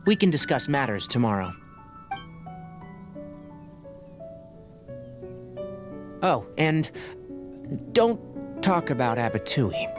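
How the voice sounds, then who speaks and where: Young male game ranger: medium pitch, matter-of-fact, scholarly, composed, soft music behind. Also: very animated. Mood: firm. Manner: measured, matter-of-fact explaining. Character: young male forest ranger scholar, calm, brisk, no-nonsense. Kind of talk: video game character dialogue.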